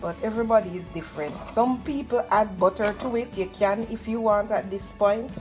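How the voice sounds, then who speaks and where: A middle-aged woman with a Jamaican accent gives a casual cooking demonstration, explaining each step and pausing to find words. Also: mid-range voice, darkish tone. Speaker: home cook demonstrating a recipe. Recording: music playing behind the voice. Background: music.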